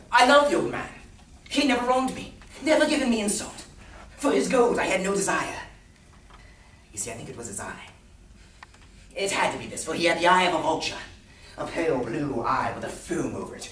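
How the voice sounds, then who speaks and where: A man playing a nervous, gleeful madman confessing to murder in a theatrical stage recitation, swinging between hushed and manic delivery. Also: on the high side, pitched above the performer's own voice. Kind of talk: dramatic solo stage recitation of a gothic story. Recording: roomy, distant stage recording with noise.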